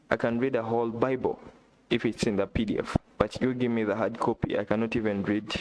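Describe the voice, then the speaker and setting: A man from Uganda, not a native English speaker, chatting excitedly on a live stream, repeating himself and talking straight to a viewer. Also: on the low side. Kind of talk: casual live-stream chat. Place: indoor room.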